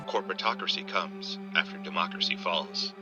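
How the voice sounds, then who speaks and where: Low-voiced guy announcing ominous titles, short and portentous, music really loud. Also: normal speed, dull audio. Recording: voice buried under loud music.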